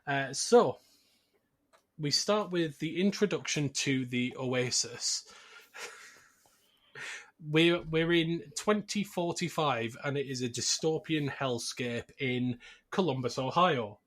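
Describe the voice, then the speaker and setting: A man's voice: medium pitch, takes it slowly, a bit bright. Man hosting a casual podcast, wryly informal. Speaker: podcast host. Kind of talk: casual film discussion and plot recap.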